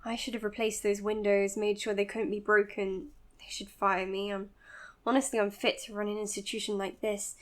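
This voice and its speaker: A woman's voice: medium pitch, flat delivery, normal speed, close to an everyday voice. An actress playing an overworked, self-blaming woman doctor in a naturalistic audio drama, speaking precisely, formally and earnestly. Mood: anxious, self-blaming. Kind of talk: naturalistic audio drama, dictated case notes and dialogue.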